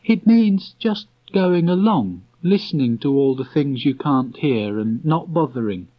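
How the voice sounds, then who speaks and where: A man voicing a kindly, earnest young boy in a storybook, speaking gently and simply. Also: medium pitch, expressive, unhurried, voice pushed up. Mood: gentle, earnest. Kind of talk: storybook character reading. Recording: dull restored cassette tape.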